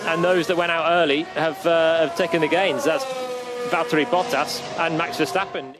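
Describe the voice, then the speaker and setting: A man's voice: fairly high pitch, fairly even intonation, moderate pace, very noisy. A man giving live motor racing commentary and analysis.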